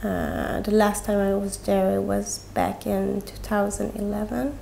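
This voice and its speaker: Young woman, open and unrehearsed, hesitant phrasing, some vocal fry. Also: medium pitch, little pitch movement, warm tone, slight background noise. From Sweden. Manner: halting, with frequent pauses and "like". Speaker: guest. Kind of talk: candid personal conversation.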